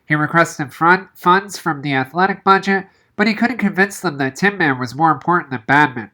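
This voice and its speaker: A woman's voice: low-pitched, moderate pace, bright, forceful tone. A woman reading a children's novel aloud as narration. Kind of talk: audiobook-style read-aloud narration.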